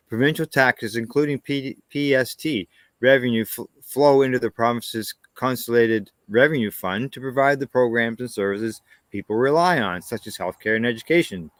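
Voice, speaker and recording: A man's voice: mid-range voice, normal speed, no room sound. A man from Canada co-hosting a news show, reading stories steadily and chatting casually. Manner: plain, steady reading with short agreeing asides. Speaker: co-host reading cannabis news.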